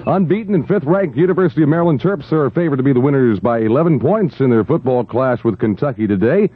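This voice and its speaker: A man's voice: mid-range voice, expressive, fast talker, breathy edge. A man working as a 1970s top-40 radio disc jockey, delivering jokey patter with wisecracking asides. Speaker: overnight radio DJ. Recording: dull 1970s radio aircheck over loud music. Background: pop records and jingles.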